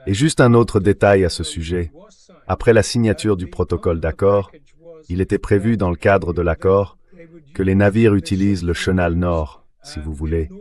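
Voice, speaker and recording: Deep-voiced man, measured analysis. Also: darkish tone. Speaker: interview guest, political analyst. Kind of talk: dubbed interview commentary.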